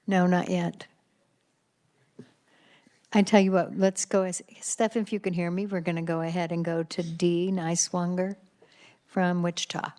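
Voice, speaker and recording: A woman senator chairing a legislative committee hearing, brisk and direct. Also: fairly low pitch, level tone, darkish tone.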